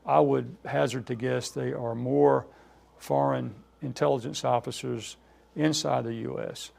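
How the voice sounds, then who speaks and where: Man, very gravelly, flat delivery, careful interview commentary, medium pitch. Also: measured pace, slight background noise.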